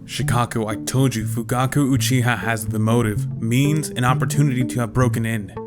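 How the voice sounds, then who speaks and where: A man plays a principled young ninja in an audio drama. His medium-pitched voice is earnest and questioning, with music clearly playing behind it. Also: fairly even intonation, fairly quick, natural voice. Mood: determined. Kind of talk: fan-made audio drama acting.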